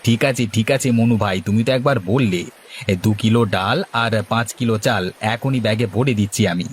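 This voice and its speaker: A man voicing an obliging, calm village shopkeeper in a cartoon, accommodating and slightly halting. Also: mid-range voice, a little hiss or hum, voice pushed down, close to an everyday voice. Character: obliging village grocer, male, calm and busy. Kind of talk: Bengali cartoon dialogue.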